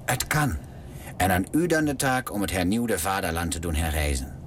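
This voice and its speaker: A man's voice: deep voice, lively intonation, moderate pace, slight background noise. An actor playing a queen's scheming son in a radio drama, speaking in a conspiratorial, persuasive way.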